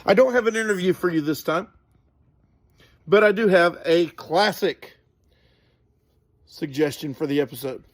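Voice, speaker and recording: A man hosting a solo podcast in an informal, earnest way, halting and correcting himself as he goes. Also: high-pitched. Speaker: podcast host.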